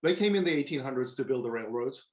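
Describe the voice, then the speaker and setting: A man's voice: medium pitch, animated, fast talker, a little hiss or hum, close to an everyday voice. A man playing an earnest, put-upon assistant in his twenties, hesitant and deferential, in a comedic table read. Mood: matter-of-fact.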